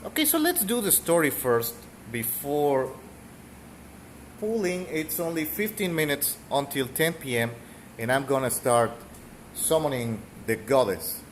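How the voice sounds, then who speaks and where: A man's voice: medium pitch, very animated, unhurried, a little hiss or hum. A man from Mexico with a thick Mexican accent, rambling through casual game commentary with fillers and the odd cough. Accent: Mexican Spanish. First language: Spanish. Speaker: gaming streamer.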